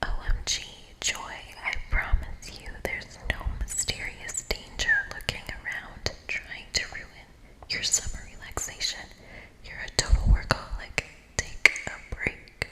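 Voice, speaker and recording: A woman's voice: measured pace, sharp tone. A woman voicing a laid-back, girly goddess of light in a whisper, casual and chummy, with an echo effect. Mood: exasperated, relaxed. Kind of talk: whispered ASMR game read-aloud.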